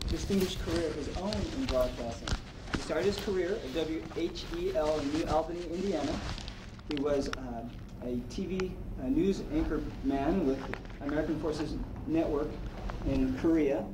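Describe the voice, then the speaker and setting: Halting man, recorded far off in roomy noise. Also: on the high side. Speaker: club member introducing a guest speaker. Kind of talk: spoken introduction at a club meeting. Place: indoor club meeting room. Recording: roomy, noisy meeting room, far mic.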